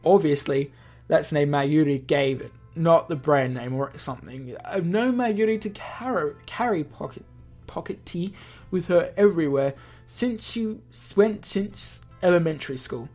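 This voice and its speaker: Woman, lowish voice, story narration, let's-play reading, music underneath. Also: animated, normal speed, darkish tone. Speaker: let's-play host reading a visual novel aloud. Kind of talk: expressive read-aloud narration.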